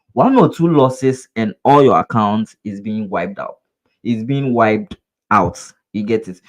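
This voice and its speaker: This is a man with a Ghanaian English accent who runs a live trading webinar. His voice sits at an ordinary middle pitch. He addresses his viewers directly and earnestly. He often circles back on phrases and poses rhetorical "what?" questions. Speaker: trading instructor.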